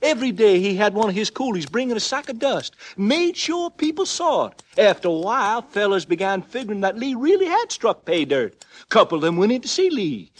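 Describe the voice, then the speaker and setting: Casting: gossipy frontier barber, high swooping voice, folksy Old West drawl, crackly vintage radio western. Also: male voice, big pitch swings, fairly quick. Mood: indignant, gossipy. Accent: American Old West drawl. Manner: folksy, chatty, colloquial storytelling.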